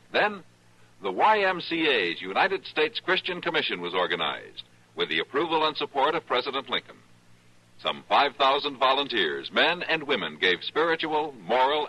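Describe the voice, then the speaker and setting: A man's voice: mid-range voice, pushed and bright, husky. A man announcing in formal, declamatory 1960s radio style, reading a script. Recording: faintly noisy old radio recording.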